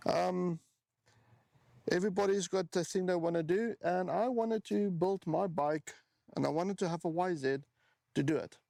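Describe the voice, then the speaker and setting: A man's voice: high-pitched, normal speed, vocal fry, mellow, no room sound, studio quality. A man in his forties with a South African accent, talking casually to camera as he walks through his own motorbike build. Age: 40s. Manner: chatty, with frequent ums and restarts. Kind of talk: casual walkaround talk to camera.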